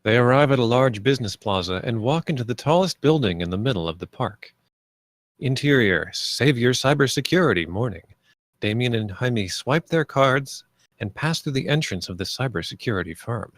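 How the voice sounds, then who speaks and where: A man's voice: medium pitch, animated, moderate pace, dry recording, studio quality. A man reading stage directions aloud as scripted narration.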